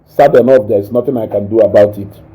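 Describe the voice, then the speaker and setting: Loud Nigerian man chatting live. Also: fairly low pitch, fast, highs cut off. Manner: animated, mixing English with Igbo, eating while talking. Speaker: online show host reading viewer comments. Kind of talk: casual live-stream chat.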